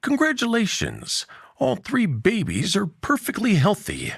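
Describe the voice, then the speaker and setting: A jovial, very old doctor from a science fiction story, acted by a male narrator. He pronounces things grandly and genially, in a lifted, very animated voice with a dry, close sound. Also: high-pitched, measured pace, vocal fry, breathy edge, no echo, pitched above the performer's own voice, full character voice. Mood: warmly congratulatory.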